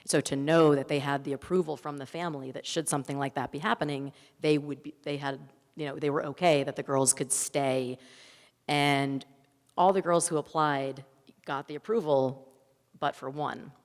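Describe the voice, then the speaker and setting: Low-voiced woman in a reverberant hall. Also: fairly even intonation, moderate pace. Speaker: film director answering audience questions. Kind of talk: festival Q&A, conversational storytelling. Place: large reverberant hall at a public event. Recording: echoey hall with long reverb.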